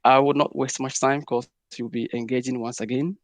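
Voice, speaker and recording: A man's voice: mid-range voice, fairly even intonation, a little fast, no echo. A young man giving a presentation at a panel, rambling loosely as he speaks. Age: young adult. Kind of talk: conference presentation.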